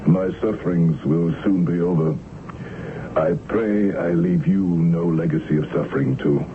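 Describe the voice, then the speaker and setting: Doomed weary sea captain, anguished n solemn, deep voice, old muffled radio play. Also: male voice, steady tone, a little slow, a little hiss or hum. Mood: resigned despair. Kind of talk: radio drama acting.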